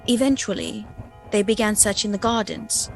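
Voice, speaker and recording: An actress playing a haunted young gentlewoman recounting a gothic tale in a radio play. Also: mid-range voice, very animated, normal speed, soft background music. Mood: reflective. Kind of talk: dramatic first-person narration in a radio play.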